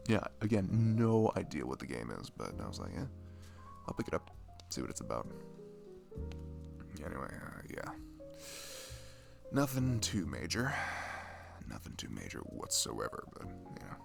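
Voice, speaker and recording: A man acts out a dorky, caring male best friend in an intimate audio roleplay. His low voice swings expressively up and down as he rambles, hesitates and apologizes shyly. Music plays clearly behind him. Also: huge pitch swings, moderate pace, pauses often, heavily acted. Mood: relaxed, chatty. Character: shy, dorky, caring young man, a video game-loving best friend. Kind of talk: intimate roleplay ASMR, mimicking casual one-sided chat.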